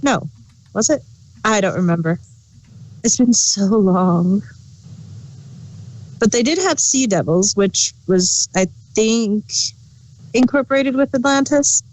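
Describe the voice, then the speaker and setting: Woman in casual, mid-pitched chat. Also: animated, slow pace, pauses often, darkish tone, poor audio. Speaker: podcast co-host reviewing audio dramas.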